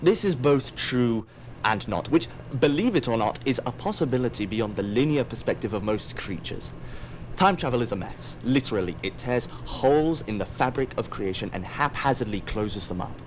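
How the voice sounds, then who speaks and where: A man's voice: fairly low pitch, a little hiss or hum, natural voice. A man in an audio drama playing an aloof, wry, digressive otherworldly narrator who muses on mortals. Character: aloof, musing otherworldly narrator observing mortals, easily distracted.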